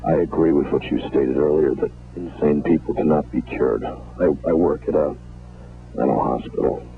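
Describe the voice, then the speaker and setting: A man's voice: extremely low pitch, normal speed, gravelly, slight background noise. A man phoning in to a radio call-in show, heard over a phone line. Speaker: radio call-in prank caller.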